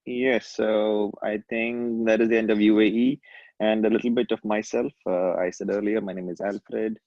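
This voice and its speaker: A man's voice: on the low side, level tone, normal speed, a little mellow, no room sound. A man hosting a church video call, calling on speakers in turn and answering them with brief, encouraging words. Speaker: meeting host. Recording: dry muffled video call audio.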